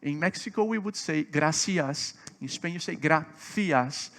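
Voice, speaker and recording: A man's voice: a little high, unhurried. Man preaching emphatically. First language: Portuguese. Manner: emphatic, swelling louder on key points; asks the audience questions. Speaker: seminar speaker. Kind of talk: conference seminar teaching.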